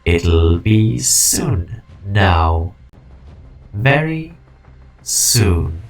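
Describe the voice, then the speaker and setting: A man playing an ancient, weary guardian being, solemn and resolute, in a fan-dubbed game story with a grave, halting delivery. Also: low-pitched, very animated, exaggerated voice. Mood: solemn, resigned. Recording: background music.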